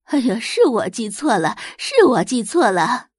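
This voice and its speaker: A woman's voice: on the high side, sing-song, unhurried, husky, no echo, studio quality, voice pushed up, full character voice. A woman voicing a gushing, fawning rich society matron in an audio drama, full of exclamations. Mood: flustered, fawning. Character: gushing, socially slick rich society matron Mrs. Fang.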